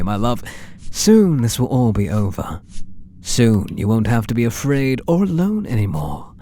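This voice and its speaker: Man playing a mellow, slow British obsessive artist. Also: mid-range voice. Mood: soothing, ominous. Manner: slow, breathy, doting, menacing murmur with soft whispers. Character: obsessive, possessive male painter doting on his captive muse. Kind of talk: ASMR roleplay, intimate dramatic monologue.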